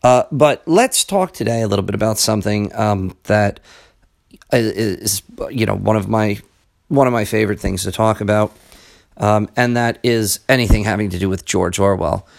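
Hoarse man in a casual monologue. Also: fairly low pitch, normal speed. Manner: conversational, frequent ums and asides, earnest. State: raspier voice than usual. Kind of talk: casual spoken monologue on books and history.

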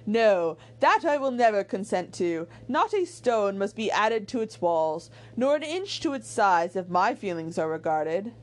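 Woman doing an eager romantic young gent from an old novel, emphatic, formal, kinda high voice. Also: animated, normal speed, close to an everyday voice. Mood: vehement, eager. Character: ardent young gentleman suitor, impulsive, romantic, emphatic.

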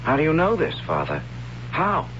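An actor playing the high priest's young son, who keeps asking probing, incredulous questions, in a 1970s radio drama. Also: male voice, wildly swooping pitch, moderate pace. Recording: dull lo-fi old radio broadcast with noise.

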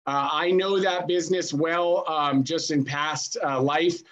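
A man's voice: fairly high pitch, fairly even intonation, unhurried, fairly bright tone. A man hosting a podcast interview, asking questions in a rambling, joking way with lots of fillers. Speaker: podcast host.

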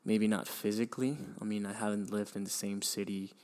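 A young man from Venezuela talks through his own story on his podcast. His voice sits low and keeps to a narrow, level pitch. He pauses, sighs and restarts often as he thinks aloud. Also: level tone, darkish tone. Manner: hesitant, many restarts and fillers, sighs. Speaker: podcast host. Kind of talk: reflective personal storytelling.

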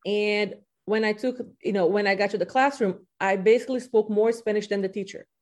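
A woman's voice: medium pitch, steady tone, brisk, a little mellow. A woman in her thirties, born in Brazil with Portuguese as her first language, chatting casually as a podcast guest about teaching, with lots of "you know" fillers. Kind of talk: casual interview talk.

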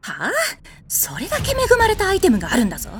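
Audio comedy as proud war goddess: rough-tongued, very high, highly animated delivery. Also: female voice, fast talker, full character voice. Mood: scornful. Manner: bossy, rough-spoken, exclamatory. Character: brash, hot-tempered, proud goddess of war. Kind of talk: comedic audio drama acting. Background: music.

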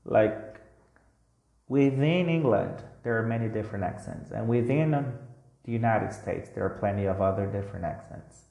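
A man's voice: lowish voice, animated, moderate pace, gravelly, mellow. A man giving a recorded English lesson to learners, talking casually and reassuringly. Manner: casual and reassuring, with frequent "like" and "you know". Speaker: English teacher. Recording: roomy echo with faint guitar music.

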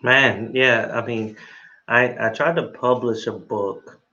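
A man's voice: medium pitch, fairly even intonation, takes it slowly, sharp tone, dull audio. A man hosting an interview, talking with enthusiasm and tagging "right?" onto his sentences. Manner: enthusiastic, keeps adding "right?". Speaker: interview host. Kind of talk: casual interview chat.

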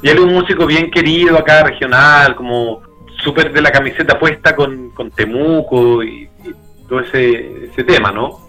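A man's voice: mid-range voice, normal speed, sharp tone, soft background music, rough recording. A Chilean man from Santiago talking casually and candidly as a musician being interviewed, in a reflective, colloquial way.

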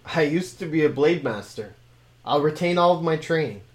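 A man's voice: a little high, expressive, normal speed, lightly acted. Man voicing a wry talking sword. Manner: formal, wry, commanding. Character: talking sword, former male blade master, wry.

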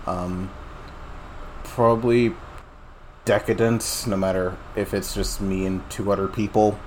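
A man in his mid-twenties with a lowish voice rambles through casual chat as the podcast's producer. He restarts his sentences often. Faint noise sits beneath the recording. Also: flat delivery, a little slow, a little mellow, rough recording. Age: 20s.

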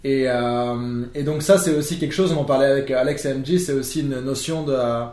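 A man's voice: medium pitch, fairly quick, darkish tone. A young French man, a podcast guest, explaining at length in a casual conversation with many fillers and restarts. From France. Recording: echoey room.

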